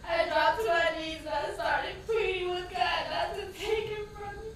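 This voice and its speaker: A woman's voice: very high voice, moderate pace, distant mic. An actress playing a grieving, devout woman in an emotional, impassioned dramatic stage monologue. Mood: anguished. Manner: emotional, impassioned delivery, swinging from hushed to crying out. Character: grieving, pleading, devout woman recounting a shooting. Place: theatre stage, distant microphone. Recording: echoey stage recording from afar, faint noise.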